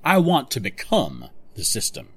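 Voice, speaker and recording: Smug, animated corporate schemer. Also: male voice, medium pitch, moderate pace, breathy edge, no echo. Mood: smug, defiant. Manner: speechifying, smug. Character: cocky scheming male corporate villain, ambitious and persuasive. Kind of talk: audio drama acting.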